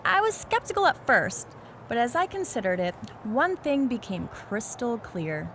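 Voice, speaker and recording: A woman's voice: a little high, sing-song, unhurried, a bit bright, a little hiss or hum, full character voice. A woman playing a defiant, righteous wrestler in a video game, delivering a firm, challenging promo. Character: defiant, righteous female wrestler acting as spokeswoman.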